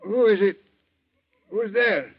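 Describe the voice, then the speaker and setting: An actor playing a shifty, cowardly bait peddler and blackmailer, wheedling and slangy, in a 1940s radio drama. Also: male voice, sits high, takes it slowly, breathy edge, full character voice. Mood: wary. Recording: dull 1940s radio recording.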